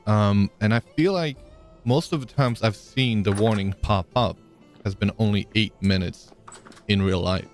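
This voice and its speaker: A man's voice: lowish voice, a little slow, soft background music. A man live-streaming a game, chatting casually, thinking aloud with frequent ums and answering viewers in chat.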